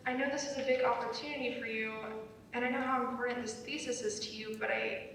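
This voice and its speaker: A woman's voice: a little high, fairly even intonation, fast talker, a bit bright. Young woman acting a concerned hiker, echoey room. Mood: concerned, gentle. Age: young adult. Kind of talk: dramatic script reading. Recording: very echoey room, distant mic, faint noise.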